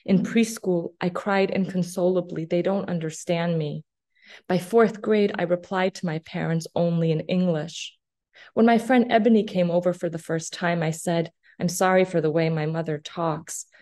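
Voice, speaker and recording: A woman in her thirties, born in Afghanistan with Farsi as her first language, reads poetry in a measured, deliberate way over a video call from a small office. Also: on the low side, steady tone, darkish tone. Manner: measured, deliberate recitation with long pauses. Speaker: poet. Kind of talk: slow poetry reading. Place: small office room indoors. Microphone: laptop webcam video call.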